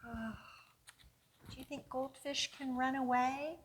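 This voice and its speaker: A woman's voice: high voice, fairly even intonation, slow, a little hiss or hum. A woman hosting a children's storytime, reading aloud expressively and coaxingly putting questions to young listeners.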